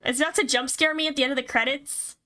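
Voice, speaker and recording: A woman streamer reading a horror visual novel aloud and reacting to it in an expressive, casually sweary way. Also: high voice, quick pace. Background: game music.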